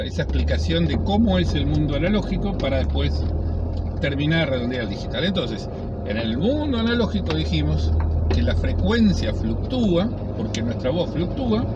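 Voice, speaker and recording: A man's voice: fairly high pitch, lo-fi sound, heavy background noise. A middle-aged man giving an informal technical explanation in a didactic, digressive way, often checking that listeners follow. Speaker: instructor explaining radio technology. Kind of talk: informal explanatory tech talk.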